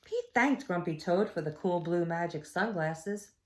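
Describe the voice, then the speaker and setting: A woman teacher reading a storybook aloud to children, expressively, with quiet asides. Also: on the low side, lively intonation, measured pace. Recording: echoey room.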